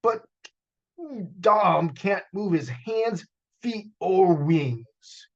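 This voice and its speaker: A man's voice: high voice, expressive, highs cut off, voice pushed up, exaggerated voice. Man as a sputtering, disapproving uncle. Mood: sputtering protest. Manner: halting, drawn-out objection.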